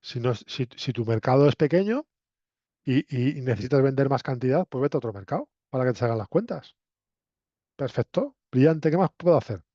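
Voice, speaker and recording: Mellow-voiced Castilian Spanish man lecturing, echoey room. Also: medium pitch, lively intonation, fairly quick. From Spain, La Mancha. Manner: didactic, conversational, frequent checking tag questions. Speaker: university lecturer. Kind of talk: interactive lecture to students. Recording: roomy echo, dull lo-fi sound.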